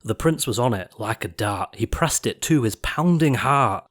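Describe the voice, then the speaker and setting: A man narrating an audiobook of rhyming verse in a theatrical, expressive storytelling style. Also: mid-range voice, moderate pace.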